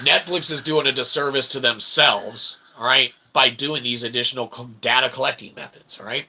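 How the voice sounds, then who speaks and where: A man giving rambling, irreverent opinion commentary in wordy, repetitive, slangy phrasing, often checking in with a quick tag question. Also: medium pitch, creaky, pushed and bright, a little hiss or hum. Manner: punctuates points with "okay?" and "all right?". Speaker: host of a commentary channel.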